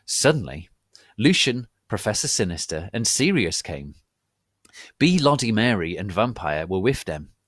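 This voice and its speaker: A low-pitched man reads as host of a dramatic reading. The delivery is formal, grave and mock-solemn, with very deliberate, slow pacing. The sound is dry and close. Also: sing-song, fairly bright tone, no echo. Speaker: host and reader.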